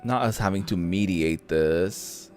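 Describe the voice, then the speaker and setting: A streamer with an expressive, slangy way of talking, casually reacting to a visual novel and reading its narration aloud, with game music behind. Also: low-pitched, a little slow. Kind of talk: casual gameplay commentary mixed with narrated reading.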